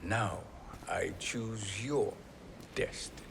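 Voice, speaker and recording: An actor playing a gloating, power-hungry sorcerer villain in a video game, grandiose and menacing in manner. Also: male voice, on the low side, takes it slowly, creaky, noisy background. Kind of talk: dramatic video game cutscene acting. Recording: loud cinematic music behind.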